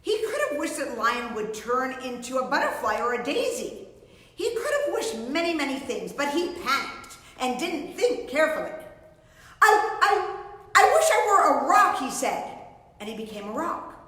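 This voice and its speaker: Animated woman storyteller, very echoey. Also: high voice, normal speed. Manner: theatrical, explanatory, addressing young children. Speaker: children's storyteller. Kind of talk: read-aloud of a picture book to children. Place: indoors before a painted storybook backdrop. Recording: very echoey, reverberant room.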